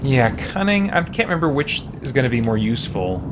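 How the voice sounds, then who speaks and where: Male game streamer, mid-pitched, off-the-cuff and halting, noisy recording. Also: sing-song. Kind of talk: casual livestream chat with game commentary. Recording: clearly noisy background.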